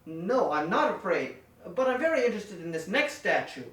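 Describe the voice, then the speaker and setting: Someone playing an earnest deadpan masked wrestler hero. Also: medium pitch, moderate pace. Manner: deadpan, overly literal and polite. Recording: roomy echo, distant mic.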